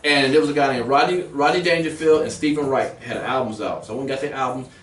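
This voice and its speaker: Brief: male comic guest, rapid-fire profane storyteller, distant mic in an echoey room. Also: medium pitch, slight background noise. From grew up in Germany, then Washington DC. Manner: animated, profane, quick storyteller. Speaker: comedian guest. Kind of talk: casual radio interview storytelling. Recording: roomy, distant mic with faint ambience.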